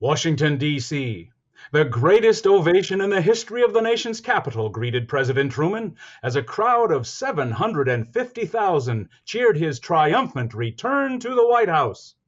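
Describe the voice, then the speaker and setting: Through slightly muffled sound comes a man playing an old-time radio news announcer. He is formal and declamatory, pitched fairly high, with a very lively, urgent delivery. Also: normal speed. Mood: grand, formal. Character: urgent, formal male 1940s radio newsreader. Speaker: newsreel-style announcer.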